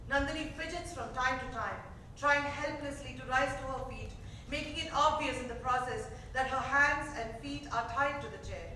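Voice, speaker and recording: A woman's voice: high-pitched, moderate pace, pushed and bright. A woman reading out stage directions as scripted narration in a play reading. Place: theater stage, recorded from afar. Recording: echoey theater, distant mic, faint noise.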